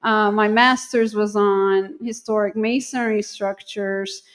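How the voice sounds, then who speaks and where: A woman's voice: mid-range voice, fairly even intonation, slow pace. A middle-aged woman with a Turkish accent, a university dean talking casually in an interview, thoughtful and hesitant with many ums and restarts. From Turkey.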